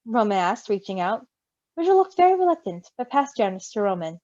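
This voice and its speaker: A woman reading fan fiction and chatting casually, with a giggly, expressive manner. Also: medium pitch, brisk, no echo, lo-fi sound. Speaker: story reader and co-reactor.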